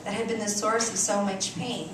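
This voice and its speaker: A woman reads a story aloud on stage with theatrical, deliberate expression and dramatic pauses. Her medium-pitched voice rings through a very echoey room, picked up at some distance, with clear noise behind it. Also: little pitch movement. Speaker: reader performing a short story on stage. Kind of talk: dramatic live literary reading. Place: indoor cafe stage before an audience.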